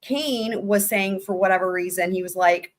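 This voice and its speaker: Woman livestream host, chatty, rambly, lots of fillers, shouting out viewers, middle pitch. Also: normal speed. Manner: upbeat, gives viewers shout-outs. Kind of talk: casual chat reading viewer comments.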